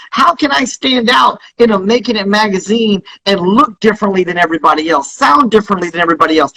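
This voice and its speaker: A man with a high, husky voice talks as a guest in a live-stream interview. His delivery is bright and forceful, built on emphatic, motivational repetition like a salesman's pitch. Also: poor audio. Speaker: business founder being interviewed. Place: Instagram live call by phone. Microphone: phone.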